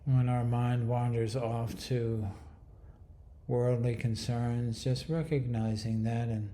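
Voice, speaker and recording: A man leading a guided meditation in a calm, hushed, soothing manner with long pauses. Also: mid-range voice. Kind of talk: guided body scan meditation.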